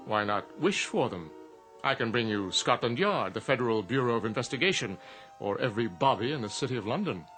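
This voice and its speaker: An actor playing an urbane, smug, ancient genie in a radio drama, with a suave, formal, theatrical manner. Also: male voice, medium pitch, expressive, fairly quick, a bit bright, soft background music, natural voice. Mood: smooth, businesslike.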